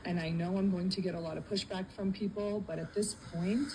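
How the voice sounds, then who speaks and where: A woman's voice: medium pitch, steady tone, a little fast, warm tone, slight background noise, poor audio. A woman from a Palestinian family giving a firm, defiant, impassioned speech straight to camera. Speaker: activist.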